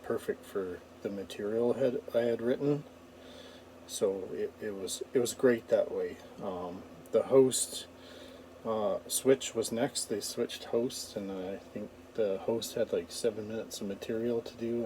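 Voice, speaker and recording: Canadian man, dark relaxed tone, off-the-cuff rambling vlog chat over slight ambient noise. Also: fairly low pitch, poor audio. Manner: halting, rambling. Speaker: comedian vlogger. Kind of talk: casual unscripted vlog recap.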